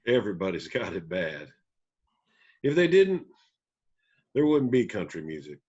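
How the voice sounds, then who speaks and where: A man reading the part of a laconic, rootless country musician in a table read, terse and folksy, with a husky voice. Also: low-pitched, very animated, measured pace, darkish tone, muffled recording, exaggerated voice. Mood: wry.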